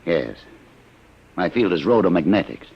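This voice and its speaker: An actor playing a courteous old inventor with a gravelly, husky voice and a scholarly manner, in a vintage radio drama. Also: male voice, low-pitched, very animated, normal speed, heavily acted. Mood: earnest, scholarly. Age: older. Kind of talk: 1950s radio drama acting. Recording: dull old radio recording.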